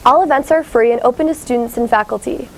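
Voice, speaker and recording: A woman's voice: on the high side, quick pace, rough recording. A woman reading scripted news as a student anchor.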